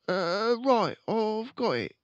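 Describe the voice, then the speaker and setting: A man voicing an eager, dutiful young son in a storybook, slow and obedient. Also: high voice, big pitch swings, dry recording, dull audio, pitched above the performer's own voice, full character voice. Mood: eager, awed. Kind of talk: storybook read-aloud character voice.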